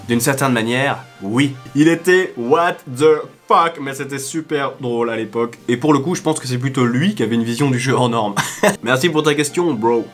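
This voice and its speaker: Brief: easygoing man with a medium pitch, joking and hesitant, studio mic, faint music behind. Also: normal speed. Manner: relaxed and joking, with frequent hesitations. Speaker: YouTube voice actor answering fan questions. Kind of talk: casual chat. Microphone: studio condenser mic.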